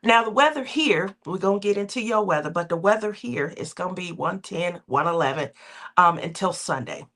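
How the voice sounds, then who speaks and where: A woman's voice: on the low side, lively intonation, fairly bright tone. A woman hosting a casual craft livestream, sounding nasal and a bit under the weather from a cold, rambling and laughing between her thoughts. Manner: rambling, laughs often, drawn-out filler words. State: recovering from a cold, sore throat.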